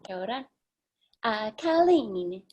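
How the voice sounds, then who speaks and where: Higher voiced woman moderating a video call, slow, short friendly handoffs. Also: big pitch swings, a little mellow, slight background noise. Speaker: facilitator.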